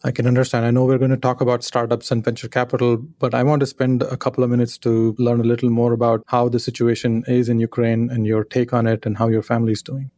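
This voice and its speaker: A man hosting a podcast, opening with formal introductions and asking questions in a measured way. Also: mid-range voice, monotone, warm tone, dry recording. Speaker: podcast host. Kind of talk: podcast interview.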